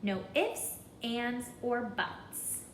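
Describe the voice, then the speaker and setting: Slow, lively woman reading a storybook. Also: a little high, reverberant, a little hiss or hum, rough recording. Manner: expressive, deliberate, rhythmic. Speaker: storybook reader. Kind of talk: children's read-aloud with questions to listeners.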